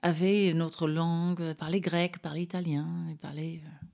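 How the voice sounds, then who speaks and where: Female guest, middle-aged, Australian, native English with accented speech, lowish voice, conversational, wry and understated. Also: flat delivery, moderate pace, a little mellow. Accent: Australian-English accent in French. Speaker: interview guest. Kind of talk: casual conversation.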